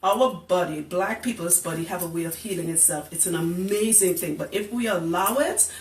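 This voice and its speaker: A woman's voice: lowish voice, normal speed, slight background noise. A woman hosting a casual live stream about hair care, blunt and teasing, who laughs often. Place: indoors in a room, live broadcast. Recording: roomy, distant live-stream audio.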